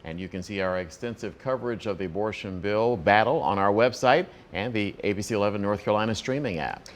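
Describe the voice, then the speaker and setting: A man's voice: on the low side, quick pace. A man with an American accent presenting scripted local television news as a morning anchor.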